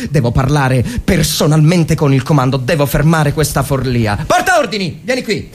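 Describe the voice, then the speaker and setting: A man's voice: fairly high pitch, very animated, normal speed, voice pushed up. A man playing a desperate army officer in the trenches, urgently barking orders in a dramatic reading. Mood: frantic, urgent.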